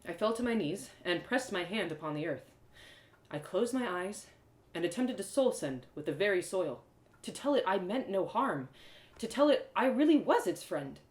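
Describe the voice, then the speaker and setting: A woman giving an expressive, theatrical reading of a first-person fantasy story as a storyteller. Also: medium pitch, normal speed. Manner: theatrical, dramatic phrasing. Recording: roomy echo.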